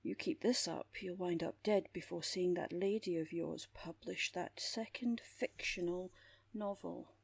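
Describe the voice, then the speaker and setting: Lady doing a cool dry criminal therapist, low husky voice, measured, very dry close sound, audio drama. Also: fairly even intonation, no echo, exaggerated voice. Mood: dry amusement. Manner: measured, dry, formal wording. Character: composed, wry female underworld therapist who makes people disappear. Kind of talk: audio roleplay drama acting.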